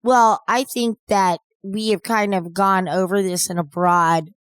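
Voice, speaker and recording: A woman co-hosting a podcast, talking in a measured, reflective way. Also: medium pitch, steady tone, clear, resonant tone, no room sound. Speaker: podcast co-host. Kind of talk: casual podcast talk.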